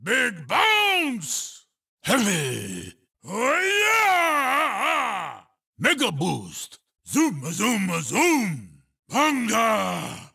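A huge, childish cartoon chief from a kart racing game. The voice is gravelly and forceful, swooping widely in pitch as it brags in simple, broken caveman-like phrases. It is loud, boastful and thoroughly exaggerated. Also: wildly swooping pitch, drawn-out words, far from the mic, heavily acted. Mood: triumphant, gleeful. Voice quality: gravelly, raspy. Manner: broken caveman-like speech, loud booming exclamations. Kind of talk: exaggerated video game character acting.

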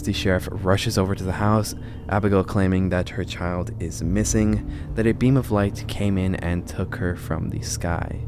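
A man in his twenties reading a scripted movie recap as a video host, speaking closely into a studio microphone. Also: deep voice. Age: 20s. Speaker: video host, film commentator. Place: small home room set up as a studio. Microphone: large broadcast microphone close to the mouth. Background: music under the voice.

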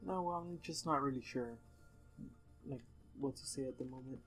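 A man chatting casually over gameplay in a low-key, somewhat hesitant way, as a co-host watching his friend play. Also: moderate pace, soft background music.

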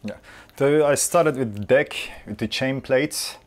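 A man's voice: mid-range voice, a little slow. A man in his forties, a rigger, listing inspection points in short broken phrases, recorded on a lapel mic in a workshop. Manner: non-native, fragmented phrasing, listing checks. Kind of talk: technical interview. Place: workshop, indoors.

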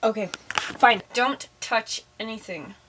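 A woman's voice: high-pitched, big pitch swings, moderate pace, background noise. A young woman acting an exasperated, easily alarmed bookstore clerk in an amateur comedy-horror short. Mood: resigned. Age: 20s. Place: bookstore interior. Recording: background music.

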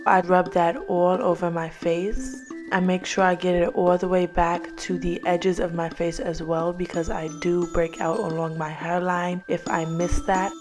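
Young woman, gentle lowish voice. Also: level tone, a little slow, hardly any pauses, over music. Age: 20s. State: losing her voice from illness. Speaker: beauty vlogger. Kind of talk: scripted skincare voice-over.

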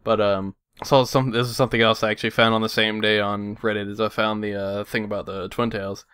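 A man with a lowish, smooth voice chats on an anime podcast. He rambles through his points with plenty of "like" and casual swearing. The sound is close and dry, with no room around it. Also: little pitch movement, fast talker, fairly bright tone, no room sound. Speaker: podcast host. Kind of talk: casual chat.

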